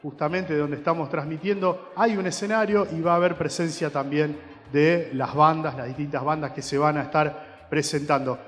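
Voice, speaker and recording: A man's voice: a little high, fairly even intonation, normal speed, a bit bright, long reverb tail. An Argentine man from Rosario hosting a live community radio broadcast outdoors at a festival, chatty and joking. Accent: Rosario Argentine Spanish. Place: outdoor festival grounds, stage nearby.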